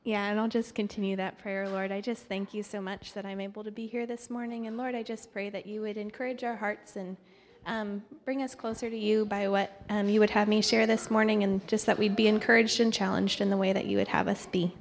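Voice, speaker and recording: A young woman speaks to a congregation as a visiting missionary speaker. Her delivery is informal and conversational, with a modest, self-deprecating streak. The voice sits at an average pitch and rings through a large, echoing hall. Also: little pitch movement, fairly quick, hardly any pauses, a little hiss or hum. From Thailand. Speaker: guest speaker, missionary candidate. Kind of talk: informal church testimony and devotional. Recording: echoey hall with long reverb.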